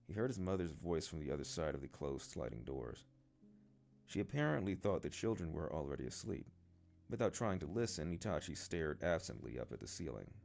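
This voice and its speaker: Man, amateur audiobook reading, creaky low tone, clear guitar backing. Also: sing-song, brisk. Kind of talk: light novel read-aloud. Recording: soft guitar music behind. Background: plucked guitar music.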